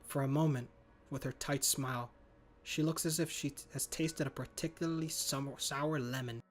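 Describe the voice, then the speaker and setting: A man narrating a horror visual novel in first person, with an earnest, muttering inner-monologue delivery. He trips over a word now and then and corrects himself. Soft music plays faintly under his voice. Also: mid-range voice, steady tone, normal speed.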